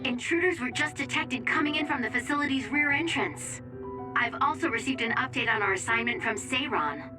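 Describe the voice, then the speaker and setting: Woman acting as young mission operator, slightly high voice, clear formal reports, dutiful, game dub, loud music. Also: expressive, brisk, natural voice. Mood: urgent report. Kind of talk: anime-style game dub acting, tactical briefing.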